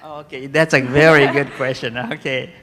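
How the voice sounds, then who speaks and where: A man's voice: medium pitch, fairly even intonation, normal speed, a bit bright, a little hiss or hum. A man moderating a student panel, casual and hesitant with many fillers. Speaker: discussion moderator. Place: large hall with audience. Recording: echoey hall, audience faint.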